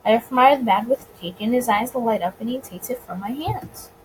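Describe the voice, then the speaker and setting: A woman's voice: a little high, a little fast, a little hiss or hum, poor audio. A woman reading visual novel narration aloud expressively, sometimes stumbling on words and rereading them. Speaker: video game let's player.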